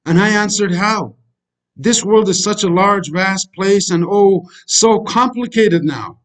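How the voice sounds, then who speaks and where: High-voiced Kurdish man coaching. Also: slow, poor audio. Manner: preachy, repetitive, addresses listeners as friends. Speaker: life coach. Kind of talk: motivational voice-over lecture over slides.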